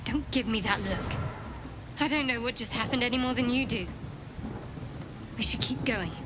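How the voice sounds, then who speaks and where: A woman playing a guarded, secretive young cleric in a video game, speaking in a reserved, measured, dryly wry way. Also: on the high side, background noise, natural voice. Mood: guarded, uneasy. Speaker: video game companion character. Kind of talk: dramatic game dialogue.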